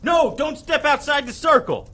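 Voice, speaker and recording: A man playing a gruff, world-weary supernatural investigator in an audio drama, speaking in a wry, terse and insistent way. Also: very high voice, lively intonation, normal speed, sharp tone, slight background noise, heavily acted. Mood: alarmed. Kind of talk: audio drama acting and first-person narration.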